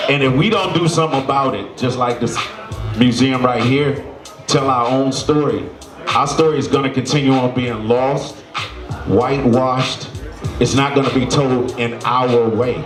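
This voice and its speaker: A man's voice: mid-range voice, roomy sound. A man speaking as a foundation representative, giving a passionate, blunt speech to a crowd and swearing freely.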